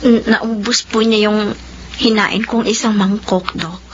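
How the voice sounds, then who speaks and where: An actress with a Filipino accent playing a devoted, remorseful adult daughter in an emotional, pleading style of radio drama. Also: female voice, mid-range voice, steady tone, a little slow, slight background noise, lightly acted. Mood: sheepish, apologetic. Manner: pleading, fretful. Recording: muffled radio drama audio, music bed.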